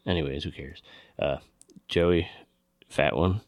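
Sweary guy podcast host, super low creaky voice, just shooting the breeze. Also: slow, no echo, clean studio sound. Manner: sweary, informal. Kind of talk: casual film chat.

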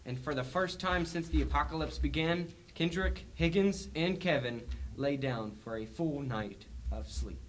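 A man's voice: on the high side, moderate pace, few pauses, background noise. A young man narrating an amateur radio drama in an emphatic, theatrical, scripted style. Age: teenager or young adult. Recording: music behind the voice.

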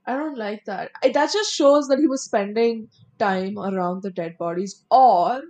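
A young woman co-hosting a casual true-crime chat, chiming in with quick reactions and opinions. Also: fairly high pitch, a little mellow. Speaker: podcast co-host.

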